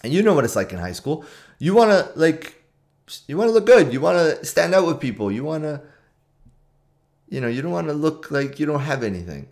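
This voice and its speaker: A man's voice: on the high side, very animated, fairly quick, a little mellow. A man in his late thirties with a Canadian accent, telling a personal story casually to camera and repeating phrases for emphasis. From Toronto, Canada. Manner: informal, conversational, with repetition for emphasis. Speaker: trader and trading community video host. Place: small room at home.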